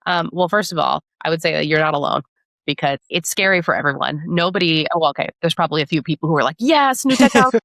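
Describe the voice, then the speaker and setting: A woman's voice: sits low, lively intonation, quick pace, creaky, a bit bright, dry recording. A woman speaking as a podcast guest in a conversational interview, explaining things and often checking in with a tag question. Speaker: podcast guest, product marketing director.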